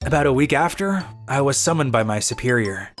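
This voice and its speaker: A man's voice: mid-range voice, animated, brisk, faint music behind, close to an everyday voice. A man playing a weary, cynical young office worker who grumbles and narrates his own manga story in a dry, exasperated way. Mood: narrating. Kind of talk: dubbed manga story narration in first person.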